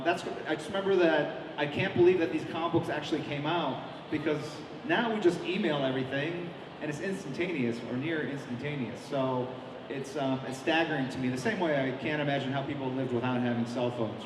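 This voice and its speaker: A middle-aged comic artist answering interview questions at a convention, with many ums and restarts. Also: male voice, mid-range voice, brisk. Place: large convention hall. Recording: echoey hall, mic at a distance, crowd noise.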